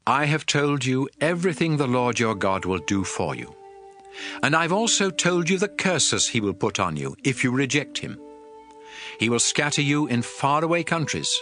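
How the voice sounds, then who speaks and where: A man's voice: medium pitch, big pitch swings, moderate pace, bright, forceful tone. An actor playing a solemn Hebrew prophet addressing his nation in earnest oratory for a dramatized Bible. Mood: earnest, exhorting. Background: music.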